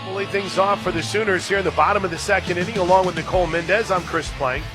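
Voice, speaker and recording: An American man working as a radio play-by-play announcer, mixing animated game calls with casual conversational banter. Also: fast, over music. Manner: animated calls that swell to shouts on big plays, conversational between pitches. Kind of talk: live sports commentary with casual banter. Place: radio broadcast booth at a ballpark.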